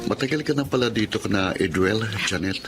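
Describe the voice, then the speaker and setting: A man's voice: on the low side, lively intonation, fairly quick, over music, natural voice. A man acting a kind, earnest eldest brother in a Filipino radio drama, with a Filipino accent. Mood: gentle, interested. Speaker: voice actor.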